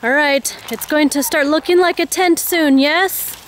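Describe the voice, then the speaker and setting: A woman's voice: high-pitched, measured pace, soft background music. A woman vlogging casually outdoors, narrating a family camping trip into a handheld camera. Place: outdoors at a campsite.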